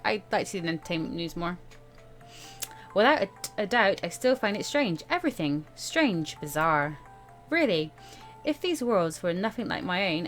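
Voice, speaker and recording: A woman hosting a casual let's-play, reading game text aloud with informal asides and stumbles over the written words. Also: medium pitch. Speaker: gaming video host. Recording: music behind the voice.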